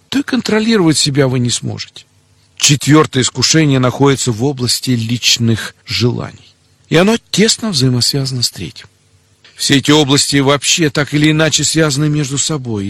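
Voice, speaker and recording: A man's voice: on the high side, fairly bright tone, slightly rough, no echo. A middle-aged man preaching in a didactic, structured way, often putting rhetorical questions to his listeners. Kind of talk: sermon on family life, scripted teaching.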